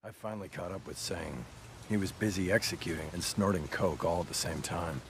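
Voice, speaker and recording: Man playing a very deep, hard-boiled cop. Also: fairly quick, close to an everyday voice. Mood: grim, sardonic. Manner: terse, sardonic, tough. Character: hardened vengeful male cop, grim and wry, narrating his case. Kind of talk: hard-boiled noir game narration and cutscene dialogue. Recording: loud music behind the voice. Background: music.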